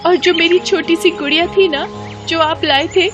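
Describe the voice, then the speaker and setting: Tearful little girl, very high and childlike, children's moral story, music underneath. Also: fairly even intonation, normal speed. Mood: tearful, complaining. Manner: childlike, plaintive. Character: small, frightened, tearful little daughter of poor family. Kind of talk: dramatized Hindi moral story acting. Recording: music behind the voice.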